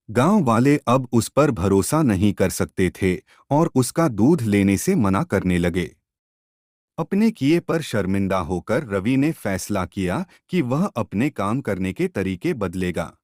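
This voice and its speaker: A man narrating a scripted moral story in a measured, instructive way. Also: lowish voice, darkish tone, no echo, clean studio sound.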